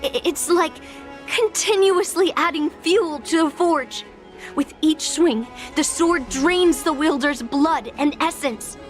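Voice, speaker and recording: A headstrong young game swordswoman, speaks in a high voice at a slow, measured pace. She is serious and defiant, intent on her mission, with faint music underneath. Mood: grave explaining. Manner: defiant, determined, explanatory. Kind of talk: video game character acting.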